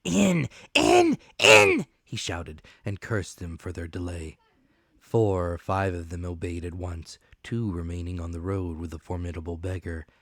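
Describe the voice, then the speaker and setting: A man's voice: low voice, little pitch movement, moderate pace, a little mellow, no echo, studio quality. A man narrating an adventure story as a dramatic, scripted audiobook reading.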